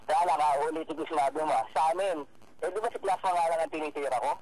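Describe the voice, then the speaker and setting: A man's voice: a little high, fast, darkish tone. A man with a Filipino accent arguing defensively on a phone call, repeating himself and tagging on questions. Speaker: rapper receiving a prank call. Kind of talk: argumentative phone conversation. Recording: phone call with crowd noise behind.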